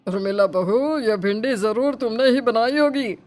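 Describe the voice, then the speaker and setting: Older cartoon father-in-law, played by a woman: hearty, emphatic, approving, on the high side. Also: moderate pace, darkish tone, natural voice. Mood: pleased. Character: hearty, appreciative older father-in-law who loves food.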